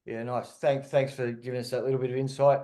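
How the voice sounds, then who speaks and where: A man's voice: medium pitch, little pitch movement, fast, warm tone. Casual man hosting a podcast. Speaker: podcast host. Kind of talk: casual conversational interview.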